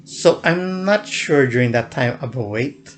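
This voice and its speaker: A man's voice: mid-range voice, animated, a little slow. A young man casually recounting a fan event in a vlog, rambling with many fillers and restarts, often chuckling. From United States.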